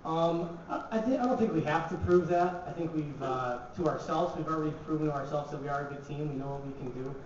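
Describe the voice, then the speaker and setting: A man's voice: a little high, fairly quick, few pauses. A young man, a college hockey player, answering reporters casually with many fillers at a press conference table. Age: young adult. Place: large echoey press room. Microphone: table mic, from a distance. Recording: echoey, distant, dull, noisy.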